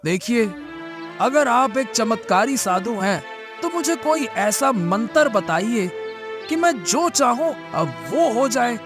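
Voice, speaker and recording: Man as high-voiced, hesitant old cartoon farmer. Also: big pitch swings, slow pace, music in the background, exaggerated voice. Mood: pleading. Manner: hesitant, stammering, pleading. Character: poor ageing male farmer, anxious, humble, easily amazed. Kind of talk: children's cartoon story dialogue.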